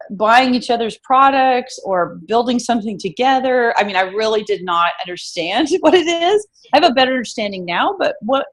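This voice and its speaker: A woman hosting a casual interview, chatty and full of fillers, with a slightly froggy voice. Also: medium pitch. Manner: chatty, many fillers and restarts. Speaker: host.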